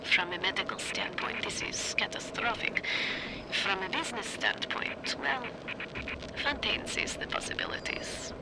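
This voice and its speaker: A woman playing a cold, troubled middle-aged scientist recording a measured audio diary for a video game. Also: mid-range voice, animated, in a whisper, rough recording. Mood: clinical. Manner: measured, clinical, brooding.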